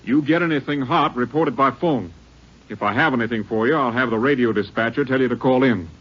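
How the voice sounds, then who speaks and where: A man's voice: medium pitch, fairly quick, husky, natural voice. Casting: male actor as businesslike commanding captain, medium register, 1950s radio drama, muffled period sound. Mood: businesslike, concerned. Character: businesslike, protective police captain, a man. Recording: dull, muffled old radio recording.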